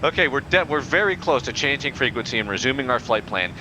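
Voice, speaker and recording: A young man playing a pilot in a flight game, using formal radio phrasing in a deadpan, increasingly exasperated way over a headset. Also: medium pitch, fast talker, noisy background. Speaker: pilot player in a flight sim. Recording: game audio in background.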